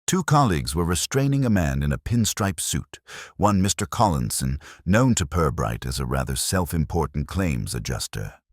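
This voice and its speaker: A man's voice: very deep voice, very animated, moderate pace, no echo. A man narrating a cosy mystery story from a script in a dry, wry manner.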